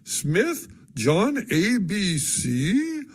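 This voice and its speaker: A foppish, gossipy gentleman played by a male reader stretches every syllable in a high, drawling voice. His tone leaps up and down with insinuating flourishes, and his speech comes slow and then sudden in this storybook reading. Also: wildly swooping pitch, slightly rough, heavily acted. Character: drawling affected society gentleman.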